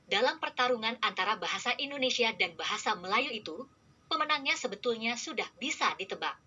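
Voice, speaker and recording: A woman reading scripted narration in an even, formal manner. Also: on the high side, fairly quick, dull audio, soft background music.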